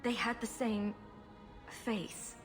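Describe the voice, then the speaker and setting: An actress playing a sarcastic yet loyal young rogue in a video game, dry and sardonic. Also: female voice, fairly high pitch, steady tone, takes it slowly, faint music behind. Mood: terse. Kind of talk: dramatic video game acting.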